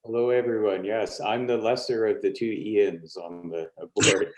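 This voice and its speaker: An American man introducing himself informally at an online meeting, speaking haltingly with pauses mid-phrase. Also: lowish voice, steady tone. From California, United States. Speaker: board trustee.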